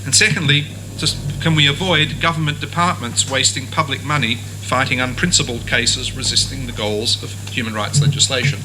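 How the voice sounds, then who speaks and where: A man gives a formal conference presentation on legislation, steady and argued. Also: mid-range voice, moderate pace. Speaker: conference speaker. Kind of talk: academic lecture. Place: university lecture hall. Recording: noisy conference room recording.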